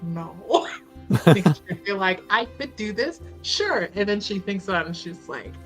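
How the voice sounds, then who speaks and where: A woman's voice: mid-range voice, lively intonation, moderate pace, faint music behind. A woman playing a tabletop roleplaying game, chatting casually and thinking aloud in a slangy, wry way.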